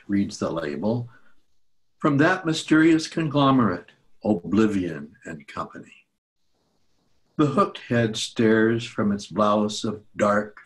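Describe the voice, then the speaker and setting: A man reading poetry aloud in a measured, deliberate way with pauses between phrases. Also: fairly low pitch, rough recording. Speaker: poetry reader at a group reading.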